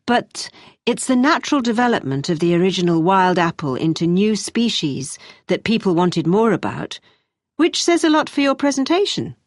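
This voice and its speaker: A woman acting as a brisk, encouraging but exacting British university tutor, giving measured, emphatic feedback in a scripted listening-test dialogue. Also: medium pitch, sing-song, no room sound, studio quality, natural voice. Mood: engaged, probing.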